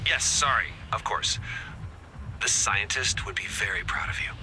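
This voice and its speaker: An eager, awkward scientist hero from a video game. His earnest delivery swings up and down in pitch, with slightly hesitant phrasing over clear background music. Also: lively intonation, normal speed, sharp tone. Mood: awkward, friendly. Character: upbeat, awkward, earnest male scientist resistance fighter. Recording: music behind the voice.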